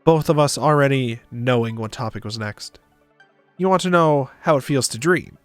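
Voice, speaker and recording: A man's voice: mid-range voice, normal speed, no room sound. A man narrating a visual novel aloud for a stream, with casual asides. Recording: music behind the voice. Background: music.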